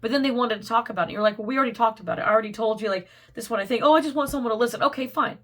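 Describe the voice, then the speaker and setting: A woman's voice: racing, fairly bright tone. A woman giving a casual, rambling tarot reading, laughing often and losing her voice to a cold. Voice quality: getting squeaky. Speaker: tarot reader.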